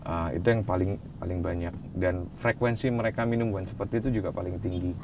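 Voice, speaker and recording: A man speaks in a relaxed, conversational way, mixing English terms into his answers. His voice sits a little low. A faint noise floor sits under the recording. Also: brisk. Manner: relaxed, mixes English phrases into speech. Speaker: wine expert guest. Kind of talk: casual interview answers.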